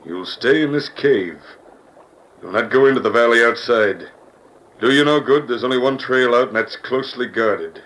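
An actor playing a cold, commanding outlaw boss who talks in curt threats, in an old-time radio drama. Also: male voice, medium pitch, moderate pace, a bit bright, natural voice. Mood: cold menace. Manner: curt, menacing. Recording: noisy vintage radio recording.

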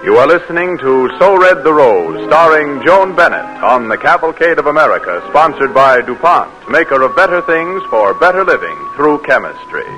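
A man's voice: on the high side, very animated, moderate pace, hardly any pauses, poor audio. A man announcing and narrating a 1940s radio drama in a formal, stately way. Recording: announcer over music.

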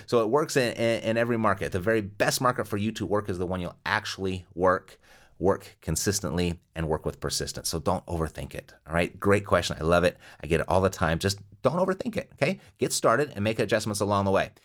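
A man's voice: low-pitched, lively intonation, brisk, a bit bright. A man hosting an investing podcast, giving motivational advice and repeating key phrases for emphasis. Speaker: podcast host. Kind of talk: energetic podcast monologue and interview.

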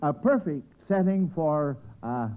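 A man's voice: a little high, expressive, measured pace. A man with an American accent hosting a 1940s radio quiz show in a jovial, folksy, bumbling way. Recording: scratchy old radio broadcast, narrow phone-like sound.

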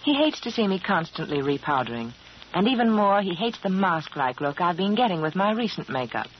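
A woman's voice: fairly low pitch, big pitch swings, brisk. A woman delivering a scripted 1940s radio advertisement in a polished, persuasive, emphatic manner. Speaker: beauty advertiser. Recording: muffled, hissy 1940s radio broadcast.

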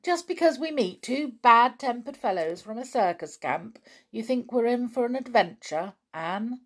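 A woman's voice: on the high side, expressive, moderate pace, pitched above the performer's own voice. A woman voicing a confident, teasing schoolboy with a breezy, scornful manner.

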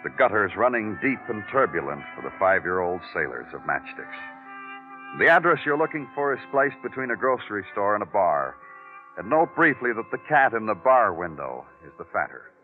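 An actor playing a dry, world-weary police detective, terse and poetic in a classic radio noir style. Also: male voice, lowish voice, sing-song, moderate pace. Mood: brooding narration. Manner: dry, terse, hard-boiled, poetic when narrating. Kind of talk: 1950s radio noir acting and narration. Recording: tinny vintage radio recording with music.

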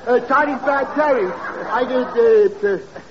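A man playing an excitable comic servant in 1940s radio comedy style, speaking mock-grand lines that slip into slang. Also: very high voice, takes it slowly, background noise. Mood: mock-grand, flustered. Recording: muffled vintage radio recording with music.

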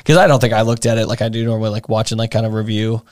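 A man's voice: lowish voice, steady tone, racing, darkish tone, no room sound, studio quality. A man co-hosting a podcast, chatting casually and informally about films. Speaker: podcast co-host. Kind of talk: casual film discussion chat.

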